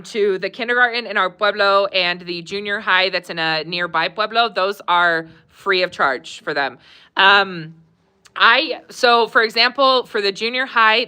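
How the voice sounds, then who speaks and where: A woman's voice: medium pitch, smooth. A woman hosting a live Q&A stream, chatting casually with many fillers, laughing often and reading viewer comments aloud. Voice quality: warm, conversational, many fillers.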